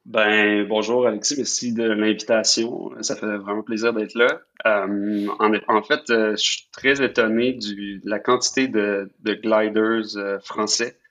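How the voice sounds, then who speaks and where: A man with a Québécois accent, a podcast guest, answering questions haltingly with many fillers and repeated words. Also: fairly low pitch, fairly even intonation, a bit bright. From Quebec. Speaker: guest, head of design. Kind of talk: remote interview. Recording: dull lo-fi call audio.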